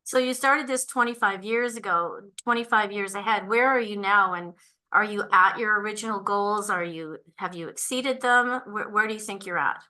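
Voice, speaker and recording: Woman host, bright clear tone, eager interviewer. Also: mid-range voice, normal speed. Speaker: podcast host. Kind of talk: interviewer questions.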